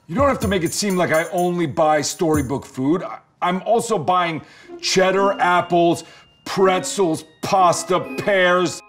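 A man's voice: high-pitched, measured pace, fairly bright tone, soft background music, pitched above the performer's own voice. A man voicing an indignant, fussy alligator who protests in exasperation, in a storybook reading. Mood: defensive. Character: indignant, fussy male alligator fed up with the narrator. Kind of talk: storybook character voice.

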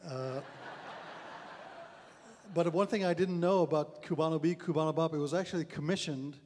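A man's voice: fairly high pitch, normal speed, a little mellow, slight background noise. A middle-aged man hosting an interview on a concert hall stage, affable and self-deprecating, asking long questions into a handheld mic. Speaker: onstage interviewer, host. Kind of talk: onstage interview.

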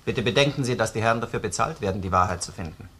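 An actor playing a dry, courteous yet probing Austrian police detective in a crime drama, with measured ironic politeness. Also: male voice, fairly low pitch, pushed and bright, a little hiss or hum. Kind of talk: crime radio play acting.

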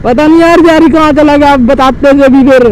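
A young man from India talks over a motorbike ride in a very high, urgent voice. He keeps calling the viewer to look at the traffic. Faint road noise sits behind him outdoors. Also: steady tone, a little fast, rough recording. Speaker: motovlogger. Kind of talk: excited riding commentary.

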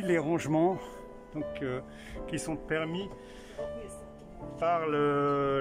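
Man chatting over background music. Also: on the high side. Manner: thinking aloud, many fillers and restarts. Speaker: vlogger touring a camper van. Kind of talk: casual walkthrough commentary. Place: inside a small camper van at a trade show. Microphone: handheld camera. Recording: music playing behind the voice.

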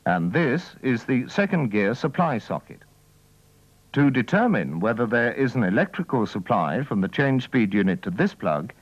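A man's voice: medium pitch, dry recording. A man reading scripted instructional narration in a methodical, formal way, as the narrator of a training film. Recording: dull, dry old training-film soundtrack.

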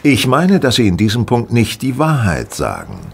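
An actor playing a shrewd, confident gentleman detective in a radio play, courtly, ironic and pointed. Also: mid-range voice, wildly swooping pitch, moderate pace, breathy edge, full character voice. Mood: probing. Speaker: actor in an audio drama. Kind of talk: German radio play dialogue.

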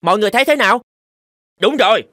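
A man voicing an excitable, deferential mouse follower in a cartoon, eager to please. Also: sits high, racing, faint music behind. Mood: enthusiastic. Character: excitable, deferential mice of the colony. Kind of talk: cartoon dubbing.

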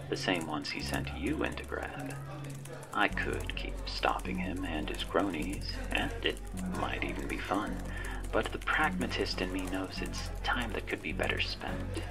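A man playing a sly, menacing, all-knowing cyberpunk handler in an ASMR roleplay, with a whispery, gravelly voice and a sardonic, teasing manner. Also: deep voice, expressive, over loud music. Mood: wry, coolly menacing. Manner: slow-burning, sardonic, teasing.

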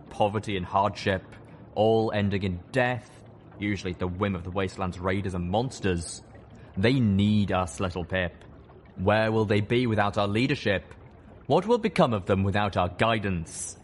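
Man playing a pompous, low-voiced pony villain. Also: a little hiss or hum, natural voice. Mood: contemptuous, persuasive. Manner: pompous, patronising. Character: male pony villain leader, condescending, grandiose, contemptuous. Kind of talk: dramatised audiobook storytelling.

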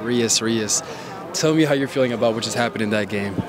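A young man interviewing fans on a city street at night, asking calm, sympathetic questions into a handheld mic. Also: fairly low pitch, brisk. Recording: crowd noise on a city street.

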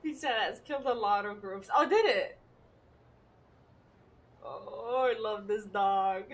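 A woman's voice: mid-range voice, takes it slowly, distant mic. A young woman from Serbia chatting casually with her live-stream chat and her dog while playing a game at home.

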